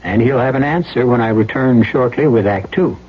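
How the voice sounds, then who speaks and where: A man narrates a radio mystery with grave deliberation, posing rhetorical questions. His pitch is medium and music plays clearly behind him. The sound is a slightly dull old broadcast. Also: brisk. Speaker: radio mystery series host. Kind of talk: dramatic scripted narration.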